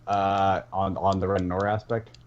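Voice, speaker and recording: A man talking casually and haltingly on a fan podcast, often repeating and reworking his phrases. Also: low voice, flat delivery. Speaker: podcast panelist. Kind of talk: casual fan discussion of an animated series. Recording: muffled mic with clear background noise.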